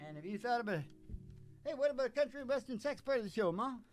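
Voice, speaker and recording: A woman's voice: a little high, lively intonation, fast. A woman chatting in a casual, folksy way as host of a country music radio show.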